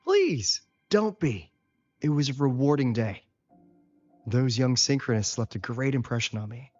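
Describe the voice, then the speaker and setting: An actor playing an easygoing, shrewd investigator in a video game, speaking in a casual, wry, husky voice. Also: male voice, mid-range voice, big pitch swings, a little slow, darkish tone. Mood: friendly, considerate. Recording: dull lo-fi game audio, faint music.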